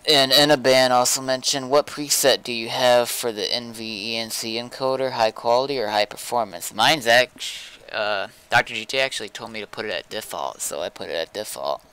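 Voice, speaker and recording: A man's voice: mid-range voice, a little fast, few pauses, sharp tone, smooth, poor audio. A man streaming gameplay, chatting casually and in a laid-back way with viewers and a friend. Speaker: game streamer. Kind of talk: casual livestream gameplay chat.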